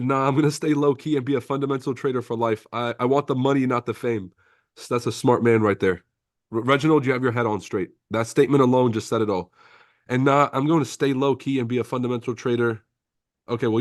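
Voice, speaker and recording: A brash, profane man from Lebanon hosts a casual trading livestream, reading viewer comments aloud and calling everyone bro. His voice sits at an ordinary male pitch, recorded close and dry with no room sound. French was his first language. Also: dry recording.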